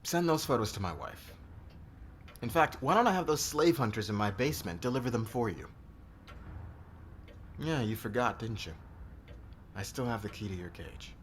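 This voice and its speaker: A man playing a domineering, bitter boss in an ASMR roleplay, speaking deliberately with intimate menace. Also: medium pitch, lively intonation, close to an everyday voice. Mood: angry, threatening. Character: domineering, bitter married male boss being blackmailed and seduced. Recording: clear background noise.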